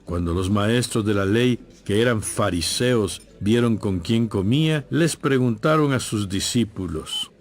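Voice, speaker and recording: A man giving scripted, measured and solemn narration for a dramatized audio Bible. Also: on the low side, soft background music.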